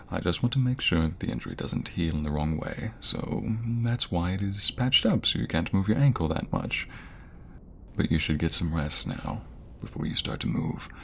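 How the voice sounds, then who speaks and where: A man's voice: low voice, creaky, slight background noise. A man acting in an intimate audio roleplay as a male firefighter, an obsessive captor who poses as a caring rescuer and talks in a coaxing, insistent way. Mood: reassuring. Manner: coaxing, softly insistent, hesitant stammers.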